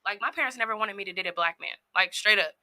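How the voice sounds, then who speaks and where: Very bright-voiced young woman. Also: mid-range voice, fast talker, no room sound. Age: 20s. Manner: candid, chatty, laughs easily. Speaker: panel guest. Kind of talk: casual panel talk.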